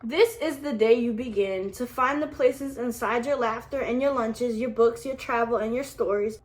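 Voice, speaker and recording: A woman's voice: a little high, moderate pace. A woman reading a picture book aloud to her toddler, with casual asides to the child as she goes.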